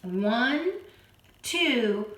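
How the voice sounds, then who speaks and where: A hoarse woman who keeps clearing her throat, patiently teaching a children's keyboard lesson and counting beats aloud. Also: fairly high pitch, expressive, extremely slow, fairly bright tone. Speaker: piano teacher. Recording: roomy, from a distance. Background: keyboard playing.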